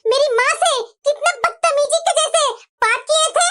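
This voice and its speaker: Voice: very high-pitched, moderate pace, pushed and bright. A performer voicing a defiant, aggrieved young daughter-in-law in a family cartoon, with pointed, indignant emphasis. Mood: bitter, indignant. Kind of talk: Hindi family moral-story cartoon voice acting.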